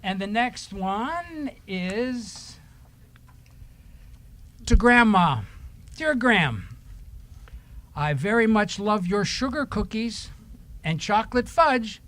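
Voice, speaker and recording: A man speaks very slowly to a group of children during a church service. He asks simple questions, echoes their answers, and reads short letters aloud. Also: sits high, animated, a bit bright. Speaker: leader of a children's story time in church.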